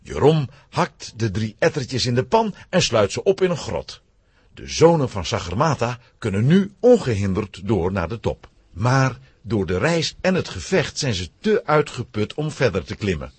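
A man narrating a children's adventure story in a scripted, dramatic, expressive style with a husky voice. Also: mid-range voice, moderate pace, no room sound.

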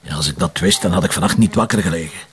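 An actor with a Flemish accent playing a worried, brooding police detective in a radio drama. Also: male voice, low-pitched, very fast, close to an everyday voice. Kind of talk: naturalistic radio drama dialogue.

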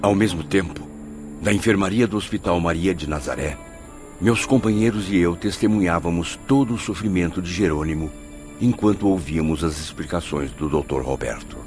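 A man narrates a radio drama solemnly. His low voice sits over clear background music. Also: moderate pace, darkish tone. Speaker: first-person narrator.

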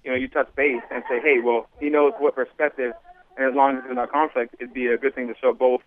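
A man's voice: medium pitch, level tone, fast. A man calling in to a radio show by phone, chatting earnestly and deferentially in loose, colloquial phrasing. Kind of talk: casual earnest chat. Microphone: phone call. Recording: tinny narrowband phone line.

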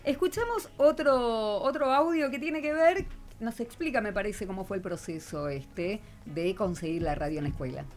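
A woman's voice: mid-range voice, normal speed, hardly any pauses, loud music behind the voice. A woman with a Rioplatense Argentine accent, interviewing as a radio host in an encouraging, conversational manner. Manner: encouraging, responsive.

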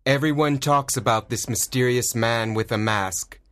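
A polite young nobleman and masked swordsman, as a male narrator puts him on, in a mid-pitched, even storybook voice. He speaks with calm courtesy and steady resolve. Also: moderate pace, natural voice. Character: gentle noble young man, secretly a masked hero.

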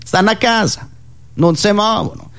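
A man delivering a theatrical, ironic political monologue as a radio satirist, emphatic and fond of dramatic pauses. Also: high voice, a bit bright.

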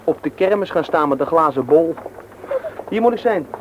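A man's voice: fairly high pitch, expressive, moderate pace, close to an everyday voice. A young man playing a cheeky, stubborn teenage schoolboy in a radio drama, teasing and flippant. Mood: teasing, earnest. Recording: dull, noisy old radio drama recording.

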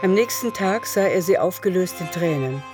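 A woman's voice: on the low side, animated, moderate pace. A woman reading scripted literary narration for a radio drama. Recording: music behind.

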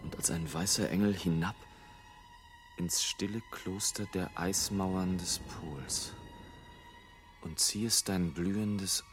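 An actor playing an exalted airship pilot in a literary radio play, declaiming poetically and theatrically. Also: male voice, low-pitched. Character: exalted male airship pilot, wildly agitated, then awestruck and solemn. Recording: 1980s radio drama production with music.

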